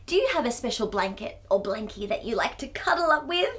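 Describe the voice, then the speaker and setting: A woman reading a story aloud to young children in an expressive, exclaiming manner, often putting questions to her listeners. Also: a little high, a little fast.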